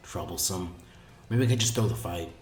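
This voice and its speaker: Male reader as shrewd, idle boy ninja, deep grumbling undertone, zero enthusiasm, storybook character. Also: moderate pace, echoey room, lightly acted. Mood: bored, wary.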